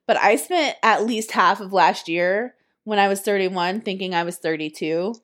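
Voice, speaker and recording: A woman's voice: medium pitch, moderate pace, fairly bright tone. A woman in her thirties chatting casually as a podcast co-host. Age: 30s. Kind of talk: casual chat.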